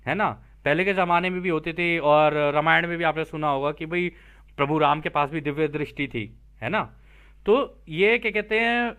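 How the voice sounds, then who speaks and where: A man's voice: high voice, a bit bright. A man teaching spiritual material, reading aloud and explaining it informally, checking in with listeners through rhetorical questions.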